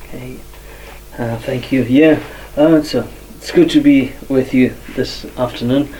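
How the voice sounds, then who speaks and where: A man from Zimbabwe tells his story as a guest, pausing often and filling gaps with ums. His voice is mellow and dark. He sits a little back from the microphone. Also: mid-range voice, fairly even intonation, distant mic, a little hiss or hum, rough recording. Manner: many fillers, soft-spoken. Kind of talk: hesitant personal testimony.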